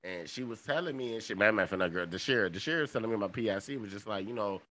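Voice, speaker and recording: Man, 30s, podcast co-host; bright, tense tone, rambling profane storyteller. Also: lowish voice, fast. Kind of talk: casual chat.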